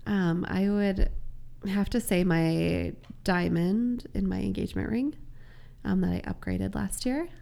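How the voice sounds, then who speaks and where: A woman chatting casually as a podcast guest, raspy as she gets over a cold. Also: medium pitch, measured pace, warm tone. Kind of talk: casual chat.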